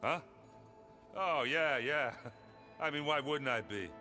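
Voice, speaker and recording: An actor playing a big, good-natured warrior in a video game, awkward and earnest, with a folksy, plain-spoken way of talking. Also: male voice, on the high side, takes it slowly. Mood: flustered, blustery. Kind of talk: video game character dialogue. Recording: loud music behind the voice.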